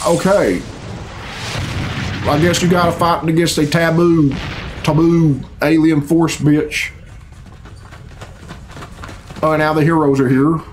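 Higher-pitched man grumbling through a reaction. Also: little pitch movement, unhurried, slight background noise. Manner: blunt, sarcastic, profane grumbling. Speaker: reaction video host. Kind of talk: casual reaction commentary. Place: small bedroom at home, indoors. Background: game cutscene music.

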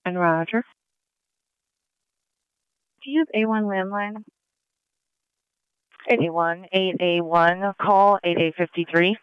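A man's voice: sits high, slow, pauses often, smooth, dry recording. A man speaking in clipped police radio phrases over a dull, band-limited radio channel.

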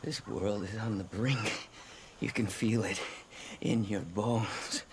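An actor playing a sadistic, theatrical cult preacher in a video game, an American man with zealous, drawn-out phrasing. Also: on the low side, steady tone, background noise. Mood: weary, bitter conviction. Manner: preacherly, drawn-out phrasing with sudden whispers and shouts. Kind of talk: video game cutscene acting.

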